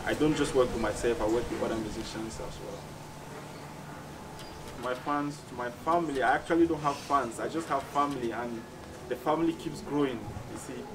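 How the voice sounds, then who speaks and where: A man with a Cameroonian accent giving reflective, measured interview answers as a musician, often repeating phrases for emphasis. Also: mid-range voice. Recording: distant mic, clearly noisy background ambience.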